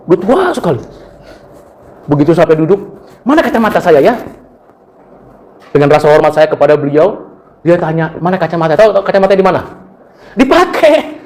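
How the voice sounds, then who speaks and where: A man's voice: on the high side, brisk, long pauses. A middle-aged Indonesian man preaching a sermon, telling personal stories and acting out the people in them. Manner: animated storytelling, acts out quoted voices. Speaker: preacher and university lecturer.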